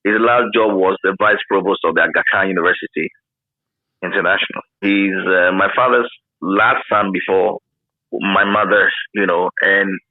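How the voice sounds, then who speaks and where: Low-voiced Ghanaian man on a phone-like line. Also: fairly even intonation, moderate pace, no echo. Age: 50s. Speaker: interview guest, former radio pioneer. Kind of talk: casual reminiscing interview answers.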